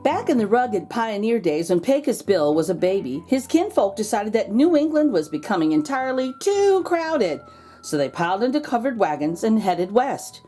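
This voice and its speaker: Lady doing a kids book read aloud, lively up and down pitch, stretches out the big words. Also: soft background music. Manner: animated, drawn-out emphasis on key words. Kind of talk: expressive storybook read-aloud.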